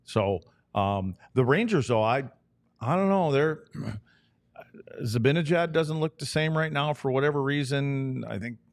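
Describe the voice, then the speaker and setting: A man with a mid-pitched voice chats about sports as a co-host, in very dry sound. He is casual and halting, working out his opinions as he speaks. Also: expressive, no echo. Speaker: sports show co-host and analyst.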